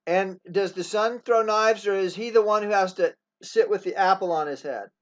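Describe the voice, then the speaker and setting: A man in his sixties hosting a casual interview, talking in a halting way with many pauses and restarts. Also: high-pitched. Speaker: host. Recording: dull lo-fi sound.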